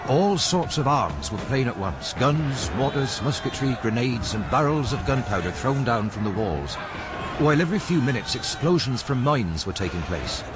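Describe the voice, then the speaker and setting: An earnest, observant male quartermaster, voiced by an actor, reports battle formally with a very animated melody. It is a radio drama monologue with clear background noise. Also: medium pitch, normal speed, natural voice. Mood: earnest, vivid. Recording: noisy radio drama recording.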